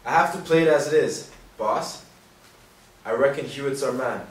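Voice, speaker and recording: An actor playing a persistent, matter-of-fact police detective, earnest and insistent, in a stage play reading. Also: male voice, mid-range voice, moderate pace, natural voice. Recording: roomy distant stage recording, faint noise.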